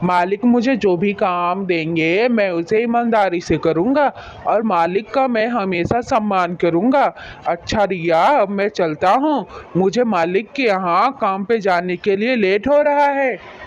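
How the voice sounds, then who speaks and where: A man's voice: sits high, a little slow, highs cut off, a little hiss or hum. A man playing a humble, honest poor village husband in a cartoon moral story, speaking earnestly and respectfully. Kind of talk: Hindi animated moral story dialogue.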